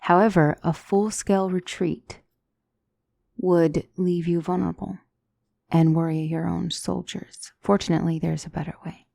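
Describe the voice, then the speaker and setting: A woman playing a gentle demonic tutor in a scripted ASMR roleplay, speaking softly and deliberately as a calm battle mentor. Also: on the low side, vocal fry, no room sound. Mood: calm, instructive. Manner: measured, deliberate, soft-spoken, instructive.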